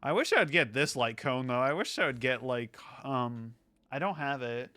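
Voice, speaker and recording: A man's voice: medium pitch, lively intonation, normal speed, sharp tone. A man streaming a game, chatting casually and informally with some swearing. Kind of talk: casual chat over gameplay.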